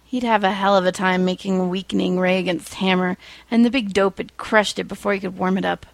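A woman reading fan fiction aloud as an expressive storyteller, with a wry, informal manner. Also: mid-range voice, brisk, gravelly, no echo.

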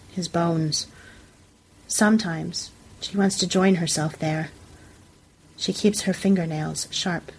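A woman's voice: on the low side, slightly rough, poor audio. A woman reading a literary story aloud as a scripted narration for a fiction podcast.

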